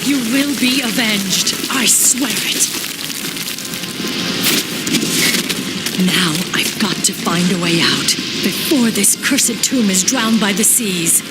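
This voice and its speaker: A woman playing a stern, vengeful elf warrior commander in a video game, speaking gravely and formally with authority. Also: mid-range voice, a little slow, few pauses, over loud music, natural voice, poor audio. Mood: grieving, vengeful. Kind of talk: dramatic video game voice acting.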